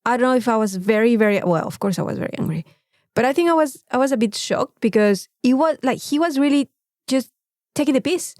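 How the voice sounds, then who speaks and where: A woman with a Mexican accent telling an anecdote in a casual, animated podcast chat, swearing freely. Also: a little high, a little fast, no room sound, clean studio sound. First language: Spanish. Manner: animated storytelling with frequent swearing. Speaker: podcast co-host.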